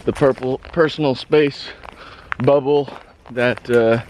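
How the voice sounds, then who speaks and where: A hoarse man vlogging casually while hiking, rambling and halting, with frequent laughs. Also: mid-range voice, steady tone, darkish tone, slight background noise, rough recording. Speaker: hiker vlogger. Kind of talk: casual trail vlog. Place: outdoors on a rural trail.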